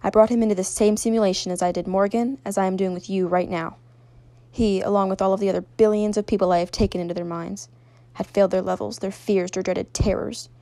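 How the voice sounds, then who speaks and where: A woman voicing a cold, menacing overlord of a deadly simulation in a story, making loud, ominous pronouncements. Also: mid-range voice, steady tone, fast, dry recording, close to an everyday voice. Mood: commanding.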